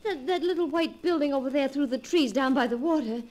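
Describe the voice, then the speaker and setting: A woman's voice: high voice, a little fast, darkish tone, natural voice. A woman acting an anxious, frightened wife in a 1940s radio horror drama, hesitant and melodramatic. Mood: fearful, disbelieving.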